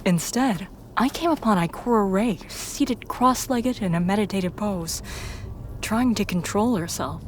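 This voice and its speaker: A woman reading scripted first-person fiction in a measured storytelling manner. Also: medium pitch, a little hiss or hum. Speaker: story narrator.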